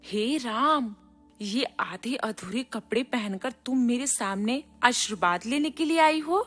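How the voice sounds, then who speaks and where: A woman's voice: a little high, lively intonation, a little slow, fairly bright tone, faint music behind. A woman voicing a stern, proud village mother-in-law in a storybook cartoon, with an emphatic manner. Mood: scolding. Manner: scolding, emphatic.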